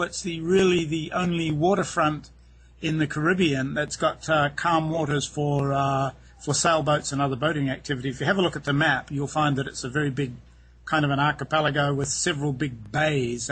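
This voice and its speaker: A middle-aged man from New Zealand with a New Zealand accent, chatting as a guest by phone from a waterfront dock, relaxed, laughing easily and repeating words as he starts. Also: a little high, slightly rough. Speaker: guest, real estate agent. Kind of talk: phone interview, relaxed explaining. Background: boats passing.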